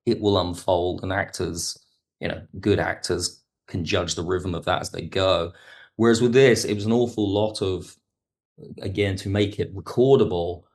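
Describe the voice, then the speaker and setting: A man speaking as a producer and guest in an interview, reflective, halting and rephrasing as he explains. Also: sits low, steady tone. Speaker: audio drama producer and writer, podcast guest. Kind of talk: reflective interview talk about production work.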